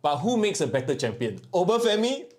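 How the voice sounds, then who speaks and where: A young Singaporean man co-hosting a wrestling podcast, animated and jokey, dropping Singlish into casual chat. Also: sits high, a little fast. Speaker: podcast co-host.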